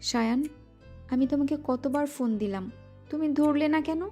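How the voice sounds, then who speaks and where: A woman's voice: high-pitched, moderate pace, mellow, natural voice. A female voice actor playing a shy, teasing young wife in an audio romance drama. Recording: music bed underneath.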